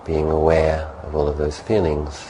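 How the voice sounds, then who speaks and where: A man calmly leading a guided meditation as a monk, giving gentle, unhurried instructions. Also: extremely low pitch, a little hiss or hum, poor audio.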